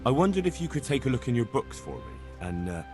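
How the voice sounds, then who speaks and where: An actor playing a flustered young medieval man, halting but insistent, in a video game cutscene. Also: mid-range voice, huge pitch swings, over music.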